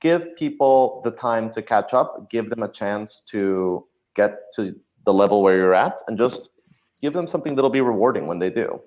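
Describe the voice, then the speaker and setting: Man, low pitch, podcast guest, thoughtful explanatory talk, muffled lo-fi recording. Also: lively intonation, moderate pace. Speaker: podcast guest, audio drama writer. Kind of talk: conversational interview advice. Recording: dull lo-fi audio.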